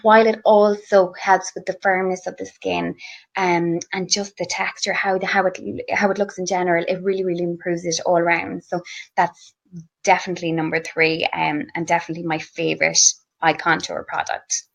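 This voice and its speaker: A woman's voice: fairly low pitch, level tone, normal speed, vocal fry. An Irish woman chatting enthusiastically about her favourite skincare products, with lots of fillers and restarts. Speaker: guest beauty blogger. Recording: slightly muffled lo-fi audio.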